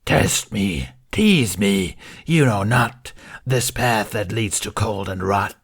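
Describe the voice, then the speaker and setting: A man voicing a menacing, giant female dragon queen for an audiobook, chanting imperiously in a booming, gravelly voice. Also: expressive, slow, exaggerated voice. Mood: ominous command. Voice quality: gravelly, husky. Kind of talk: audiobook character voice.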